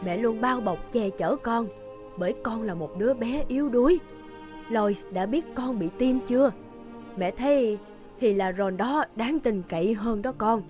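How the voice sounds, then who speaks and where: An actress playing a protective, worried elderly peasant mother in an animated fairy tale, speaking anxiously and solemnly. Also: on the high side, unhurried, over music, lightly acted. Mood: worried, gentle.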